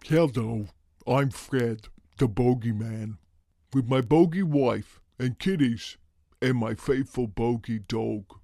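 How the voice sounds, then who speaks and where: An actor playing a friendly, plodding bogeyman from a children's story, speaking deliberately. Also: medium pitch, dark tone, no echo, studio quality. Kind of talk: children's storybook character reading.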